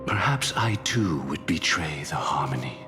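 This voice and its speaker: A man playing a grave, world-weary figure in a game, speaking with solemn, deliberate formality. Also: very deep voice, sing-song, long reverb tail, over music. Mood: pensive, solemn. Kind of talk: dramatic scripted game dialogue.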